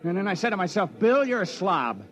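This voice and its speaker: High-pitched, excitable nervous miner. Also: male voice, brisk, low-quality recording. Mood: nervous, humble. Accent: American. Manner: excitable, homespun. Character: nervous, self-deprecating lonely miner awaiting a bride. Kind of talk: 1950s American radio drama acting. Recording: old radio recording with crowd murmur.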